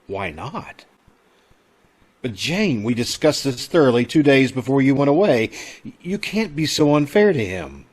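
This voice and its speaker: An actor playing a concerned, disapproving doctor in an old-time radio drama, speaking earnestly and haltingly. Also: male voice, medium pitch, big pitch swings. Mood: pleading, firm.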